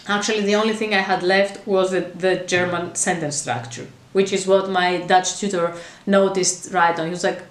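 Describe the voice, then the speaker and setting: A woman's voice: fairly low pitch, steady tone, normal speed. Woman chatting in a roomy space. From Greece. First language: Greek. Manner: chatty and meandering, with frequent fillers and restarts. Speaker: vlogger. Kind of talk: casual talk to camera. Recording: roomy echo.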